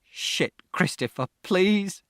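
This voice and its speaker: A man's voice: medium pitch, unhurried, no room sound, studio quality, close to an everyday voice. A man voicing an emotional, foul-mouthed father in an audiobook, desperate and defensive. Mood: pleading, remorseful. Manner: shouting and swearing. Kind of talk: audiobook character acting.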